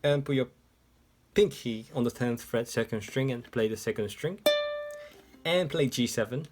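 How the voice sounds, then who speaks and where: A man's voice: medium pitch, loud music behind the voice. A man whose first language is Japanese, teaching a ukulele tutorial in English step by step, seated indoors. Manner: slow, step-by-step explaining. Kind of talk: music tutorial instruction. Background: ukulele playing.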